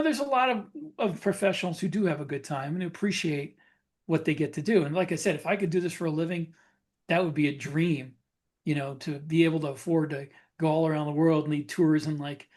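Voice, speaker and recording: A man's voice: on the high side, brisk, darkish tone. A man co-hosting a podcast in casual chat, thinking aloud in a reflective, conversational way. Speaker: podcast co-host and writer.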